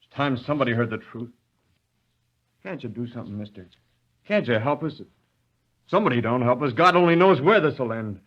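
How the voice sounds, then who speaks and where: An actor playing a fed-up young rural mill worker in an old radio drama, speaking in plain, folksy, indignant earnest. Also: male voice, mid-range voice, lively intonation, brisk, long pauses, lightly acted. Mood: pleading, earnest. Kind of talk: 1930s radio drama acting. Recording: dull lo-fi vintage radio recording.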